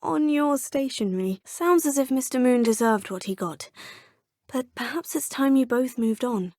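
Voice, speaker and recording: A woman's voice: fairly high pitch, darkish tone, no room sound, studio quality, natural voice. A woman voicing a polite young student witch. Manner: polite, formal, measured. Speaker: player character. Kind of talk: video game voice lines.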